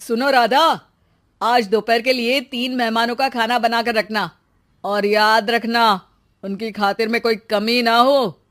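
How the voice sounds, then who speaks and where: A man voicing a stubborn, bossy and pious village husband who is quick to scold, in a cartoon story. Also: very high-pitched, flat delivery, fairly bright tone.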